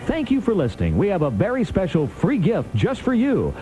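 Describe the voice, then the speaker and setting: A man's voice: high-pitched, wildly swooping pitch, a little fast, rough recording. An American man working as an announcer, reading a scripted promotional announcement. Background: loud music.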